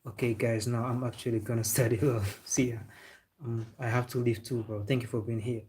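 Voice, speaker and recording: Young guy chatting on a football live stream, mid pitch voice, says bro a lot, laughs easy. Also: little pitch movement, brisk, darkish tone. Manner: loose and chatty, calls viewers bro, talks with a laugh. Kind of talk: casual live stream chat, reading viewer comments.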